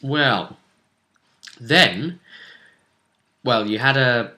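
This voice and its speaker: A man's voice: medium pitch, drawn-out words, sharp tone. A man speaking as game master, casually recapping a tabletop roleplay session in a conversational, storytelling manner. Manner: conversational, storytelling, acts out brief character quotes.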